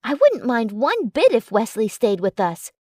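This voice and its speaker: A woman's voice: fairly high pitch, wildly swooping pitch, measured pace, mellow, no echo, clean studio sound, heavily acted. A woman voicing a feisty, blunt, possessive young female werewolf in love, in audiobook dialogue. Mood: warm.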